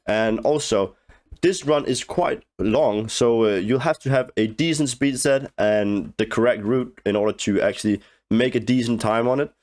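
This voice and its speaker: A young man with a cold, his nose blocked and voice hoarse, talking through a game dungeon run in a halting, casual way and often clearing his throat. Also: medium pitch, a little mellow. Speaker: game guide creator. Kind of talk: casual gameplay walkthrough commentary.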